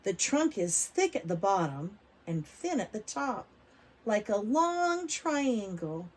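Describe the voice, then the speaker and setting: Expressive, unhurried woman reading to preschoolers. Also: medium pitch. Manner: expressive, drawn-out emphasis, child-directed. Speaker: read-aloud storyteller for preschoolers. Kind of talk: expressive picture book reading with questions to young children.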